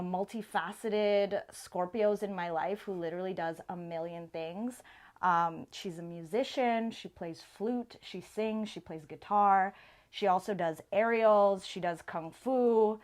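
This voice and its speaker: A woman's voice: mid-range voice, darkish tone. A woman hosting an interview show in a casual, conversational style, informal and effusive as she speaks.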